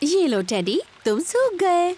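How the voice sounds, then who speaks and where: A woman voicing a caring, cheerful and gently practical mother pig in an expressive children's cartoon dub. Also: very high-pitched, slow pace, noisy background, heavily acted. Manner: nurturing, expressive. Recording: music behind the voice.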